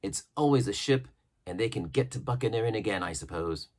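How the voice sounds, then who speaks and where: A man voicing a wry, kindly ship's doctor in a storybook, with measured, genteel, conversational delivery. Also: fairly low pitch, animated, a little mellow. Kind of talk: storybook character reading.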